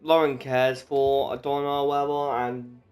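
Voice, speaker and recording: A man's voice: medium pitch, flat delivery, normal speed, darkish tone. A man giving casual, rambling, informal commentary as he streams a game. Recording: faint game music behind.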